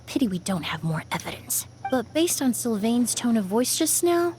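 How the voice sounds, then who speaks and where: A thoughtful young woman of science in a video game. The voice is fairly high, calm and precise, measured in phrasing, with some noise behind it. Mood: pensive. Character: composed, thoughtful young woman perfumer and forensic cleaner.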